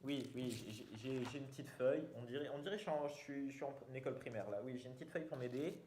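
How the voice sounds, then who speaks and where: A man's voice: medium pitch, fast, darkish tone, slight background noise. A young man, hosting a casual vlog in a rambling, jokey way, full of fillers and restarts. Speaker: YouTuber. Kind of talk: casual self-mocking vlog.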